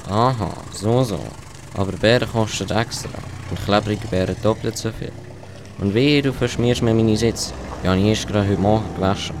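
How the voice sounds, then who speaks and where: A man's voice: fairly low pitch, moderate pace, close to an everyday voice. A male performer with a Swiss German accent playing an easily flustered man in an amateur radio play. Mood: grumbling. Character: English family father and grumbling taxi driver, easily flustered. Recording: clear outdoor traffic-like background noise.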